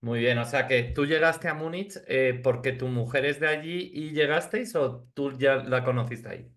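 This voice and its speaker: Castilian Spanish man, medium-pitched interviewer. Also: moderate pace, a bit bright. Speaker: interviewer, host. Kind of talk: casual remote interview.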